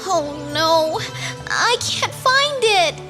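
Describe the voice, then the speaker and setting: A very high, girlish fairy princess. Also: very animated, drawn-out words, music in the background, heavily acted. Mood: distressed. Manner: girlish, emotive, haughty. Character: petulant young fairy princess, proud and naive. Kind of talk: animated video game acting.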